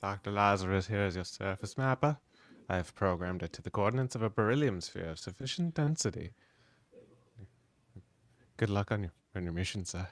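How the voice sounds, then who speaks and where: A man playing an earnest, reverent young alien devotee, speaking formally and haltingly in a live script read. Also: lowish voice, lively intonation, no echo. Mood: dutiful.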